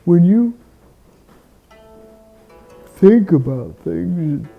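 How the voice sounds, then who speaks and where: A person telling a reflective bedtime story in a slow, halting, musing way, often repeating words. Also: mid-range voice, expressive, gravelly, narrow, tinny audio, soft background music.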